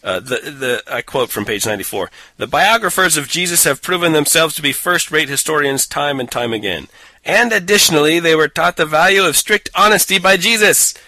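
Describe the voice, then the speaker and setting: A man's voice: a little high, expressive, a little fast, pushed and bright, dry recording. A man co-hosting a casual podcast, picking apart a book with a sarcastic, mocking manner and frequent laughter. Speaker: podcast co-host. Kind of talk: casual chat reviewing a book.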